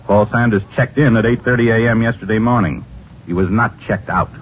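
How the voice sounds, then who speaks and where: An American actor playing a grave, formal government agent in an old-time radio drama. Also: male voice, fairly low pitch, close to an everyday voice. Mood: serious, official. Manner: formal, measured, official. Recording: muffled, noisy old radio recording.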